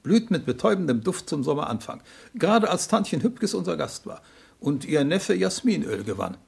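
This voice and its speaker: An actor playing an indignant, pedantic pharmacist in a 1960s radio crime play, precise and prickly, with a gravelly, husky voice. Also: male voice, fairly high pitch, sing-song, normal speed, full character voice. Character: indignant, pedantic pharmacist under police questioning.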